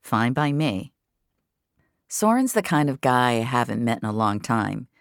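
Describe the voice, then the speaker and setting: Female narrator for audio fiction, very low register, confessional first-person delivery, close dry recording. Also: big pitch swings, a little slow, dry recording.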